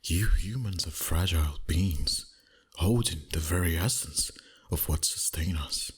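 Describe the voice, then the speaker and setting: A man playing a seductive, dominant vampire boss in an intimate ASMR roleplay, breathy and often near a whisper, teasing slowly and deliberately. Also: low-pitched, exaggerated voice. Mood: calm, persuasive. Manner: slow, deliberate, flirtatious.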